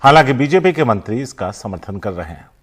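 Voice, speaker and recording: Medium-pitched male news presenter. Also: expressive, fairly quick, a bit bright, husky. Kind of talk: scripted TV news narration.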